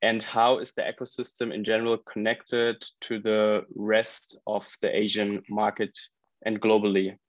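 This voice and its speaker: Man, podcast host, low voice, conversational interviewing, dry slightly dull recording. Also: completely flat delivery, unhurried, dry recording.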